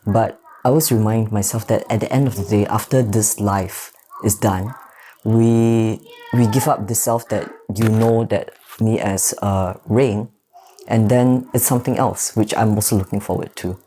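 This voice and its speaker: Mellow Singaporean man in his thirties. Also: fairly low pitch, fairly even intonation, slight background noise. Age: 30s. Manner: measured, pausing often with soft fillers. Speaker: interview guest. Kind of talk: reflective personal interview.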